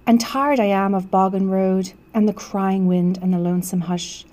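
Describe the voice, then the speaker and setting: A woman's voice: mid-range voice, fairly even intonation, normal speed, darkish tone. A woman, a teacher reading poetry aloud.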